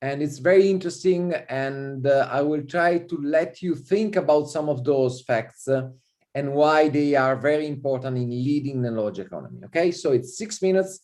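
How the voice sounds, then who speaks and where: A man from Italy with an Italian accent, a visiting professor giving an online university lecture on a video call, relaxed and full of fillers and repeated "okay". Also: on the high side, moderate pace. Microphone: computer mic on a video call.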